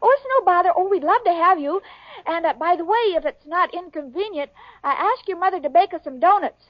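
An actress playing a sweet, dim-witted young woman in a 1940s radio sitcom, wide-eyed and breathy. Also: very high voice, fairly quick. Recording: dull old radio broadcast, audience laughter, hiss.

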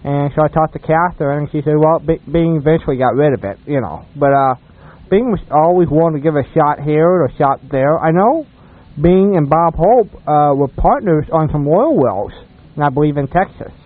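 An American man co-hosting a radio show, chatting casually and telling anecdotes in a rambling, halting way. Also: fairly high pitch, lively intonation. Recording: thin phone-like radio sound.

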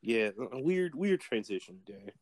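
A man's voice: mid-range voice, sing-song, unhurried, no room sound. Easy-laughing Texan man, dry close mic. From Texas. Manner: laughs easily, hesitant, lots of "like". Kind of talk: casual chat.

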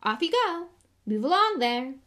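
Woman doing a pompous, booming, animated celebrity schoolmaster. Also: moderate pace. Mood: hearty, showy. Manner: booming, hearty, patronising. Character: pompous, jovial, self-important male celebrity teacher.

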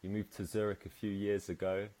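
A man's voice: on the low side, little pitch movement, a little fast, mellow. A man hosting a podcast, asking questions in an easygoing, hesitant way with many fillers. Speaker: podcast host. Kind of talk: casual interview.

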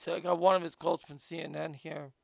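A man's voice: on the high side, level tone, fairly quick, gravelly, dry recording, highs cut off. A man hosting a casual video, reading from notes about a guest and often stumbling and repeating words.

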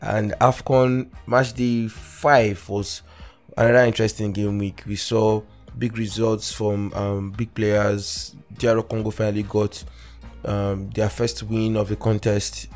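A Nigerian man hosting a casual solo fantasy football podcast, rambling with lots of fillers and restarts, his voice still deep from the morning. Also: little pitch movement, measured pace, a little mellow, faint music behind. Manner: rambling, many fillers and self-corrections. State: morning voice, deeper than usual. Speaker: podcast host.

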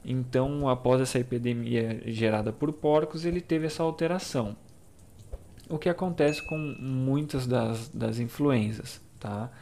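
A man's voice: medium pitch, fairly even intonation, measured pace, creaky, mellow, a little hiss or hum. A young Brazilian man hosting his own channel, explaining things casually with lots of fillers and asides. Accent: Brazilian Portuguese. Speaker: YouTube channel host.

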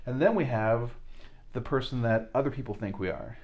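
A man in his forties talks as an interview guest. He is earnest and often halting, restarting his sentences and filling pauses as he works toward his point. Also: medium pitch, highs cut off. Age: 40s. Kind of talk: casual interview talk.

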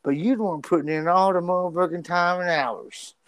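A man with a slight stutter co-hosts a loose podcast, cursing freely and stumbling over his words as he gets excited. His voice sits a little high for a man. The sound is dry and close, with almost no room behind it. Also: brisk, clean tone, no room sound. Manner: animated, profane, stumbles and repeats words. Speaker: podcast co-host. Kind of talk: casual podcast chat.

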